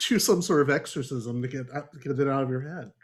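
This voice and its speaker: A man's voice: medium pitch, fairly quick. A man hosting a book club podcast, chatting casually and joking in a wry, exasperated way. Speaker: podcast host.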